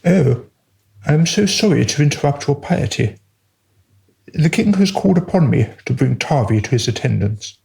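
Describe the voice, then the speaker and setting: Man acting an ancient, creaky royal servant. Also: fairly high pitch, animated, moderate pace, a little mellow. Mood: apologetic. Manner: formal, obsequious. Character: ancient, deferential male royal servant, apologetic. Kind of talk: comic historical radio play acting.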